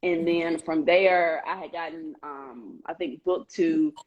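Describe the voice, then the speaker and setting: A woman's voice: sits low, steady tone, slow pace, darkish tone, highs cut off. A young woman being interviewed as a guest over a video call, telling her story earnestly with frequent "um"s and "you know"s. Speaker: guest, Air Force member. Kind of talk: casual interview chat.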